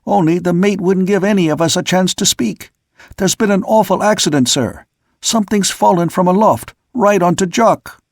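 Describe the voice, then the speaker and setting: A man's voice: high voice, normal speed, no echo, studio quality, pitched above the performer's own voice. A man voicing a bold sailor who speaks up to his officers in a sea-story audiobook, urgent and outspoken. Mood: alarmed, urgent. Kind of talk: audiobook character voice.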